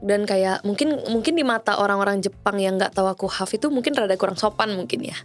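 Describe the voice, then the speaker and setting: A young woman from Bali chatting candidly as a podcast guest, quick to laugh. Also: medium pitch, faint music behind. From Bali, Indonesia. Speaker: guest singer and radio presenter. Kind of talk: casual podcast chat.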